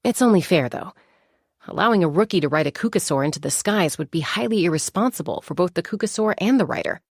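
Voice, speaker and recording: An actress playing a composed, confident young warrior woman in a video game, speaking in a measured, articulate and reflective way. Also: fairly low pitch, expressive, slightly rough, no room sound, studio quality. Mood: firm, earnest. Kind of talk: scripted game character monologue.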